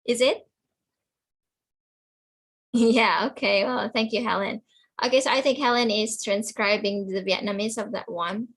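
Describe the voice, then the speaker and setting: A woman's voice: medium pitch, steady tone, measured pace, pauses often, fairly bright tone, slightly rough. A woman teaching an English class online, explaining in simple phrases, repeating herself and checking that students follow. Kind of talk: online lesson, explaining words and questioning students.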